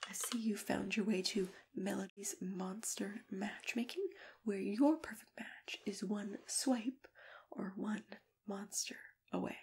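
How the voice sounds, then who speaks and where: A woman acts out a kindly, attentive female agency matchmaker in an ASMR roleplay. Her voice is soft and gentle, medium in pitch, and slips often into unhurried whispers. It is recorded close on the mic, with typing and clicks around it. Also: a little hiss or hum. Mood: soothing, welcoming. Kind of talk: whispered ASMR roleplay, one-sided client chat. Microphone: close ASMR microphone.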